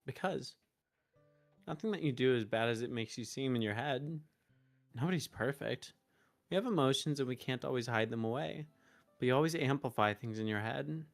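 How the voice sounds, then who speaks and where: A woman streaming a visual novel, reading narration and dialogue aloud expressively, halting in places. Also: rumbling low. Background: game music.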